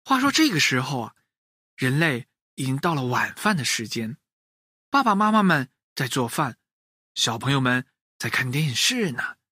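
A man narrating a children's story in an animated, expressive way. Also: mid-range voice, moderate pace, slightly rough, no echo, studio quality.

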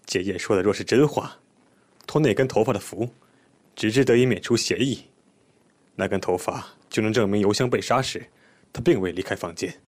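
An actor playing a skeptical police inspector in an audio drama, terse and authoritative, with a husky voice. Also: male voice, fairly low pitch, moderate pace, pauses often, natural voice. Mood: musing.

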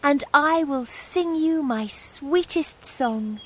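A man voicing a small, brave female nightingale who pleads slowly in a high voice. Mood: pleading.

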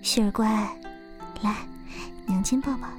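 A woman voices A gentle young mother in a Chinese period radio play. She speaks slowly and softly, with a wistful tenderness, over background music. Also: mid-range voice, close to an everyday voice. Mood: tender. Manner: tender, soft, unhurried. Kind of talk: Chinese period audio drama acting. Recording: background music under speech.

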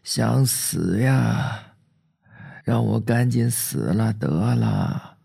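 A man's voice: mid-range voice, vocal fry, warm tone, husky, full character voice. A man doing a frail, dying old grandfather. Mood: weary. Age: older. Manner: weak, slow, sighing.

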